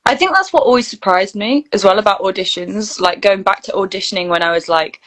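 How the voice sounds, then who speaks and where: A woman talks as a guest in a relaxed interview. Her voice sits at a medium pitch. She is chatty and informal, telling things as anecdotes with plenty of "like". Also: a little fast. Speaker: guest, actor and voice reel producer. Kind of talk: casual interview chat.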